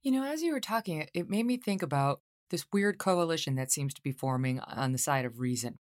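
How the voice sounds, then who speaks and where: A woman hosting a podcast interview who speaks forcefully and stresses her points by repeating them. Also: low voice, expressive, a little fast, no echo, studio quality. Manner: forceful, emphatic repetition. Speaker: podcast host.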